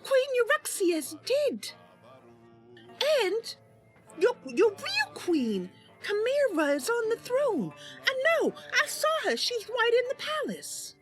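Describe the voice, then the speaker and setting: A woman's voice: very high-pitched, wildly swooping pitch, takes it slowly, faint music behind, voice pushed up, full character voice. A woman voicing a chipper, friendly young elf adventurer in a fantasy game roleplay, eager and chatty.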